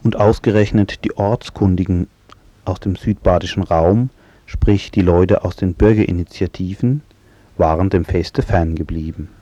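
A man's voice: low voice, fairly even intonation, moderate pace, warm tone, no room sound, lo-fi sound. A man reading a scripted radio report as a reporter. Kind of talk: scripted news report narration.

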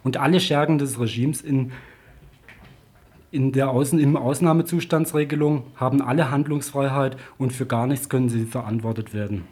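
A man's voice: mid-range voice, fairly even intonation, normal speed, a little mellow, slightly rough. A man reading a scripted political news report on the radio.

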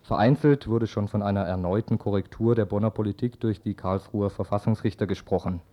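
A man's voice: fairly low pitch, fairly quick, warm tone. A man reading a scripted introduction as a radio news reporter and interviewer.

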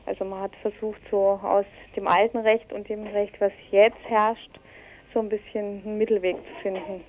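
A woman's voice: medium pitch, little pitch movement, moderate pace, a little hiss or hum. Woman interviewee, dull lo-fi sound. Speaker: interview guest, activist. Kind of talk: spontaneous explanatory interview answers.

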